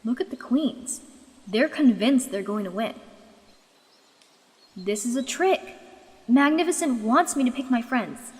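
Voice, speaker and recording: A woman's voice: on the high side, lively intonation, a little slow, pauses often, a little mellow. Woman as determined young dragon queen-hopeful, echoey hall. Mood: determined, wary. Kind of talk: fan dub voice acting.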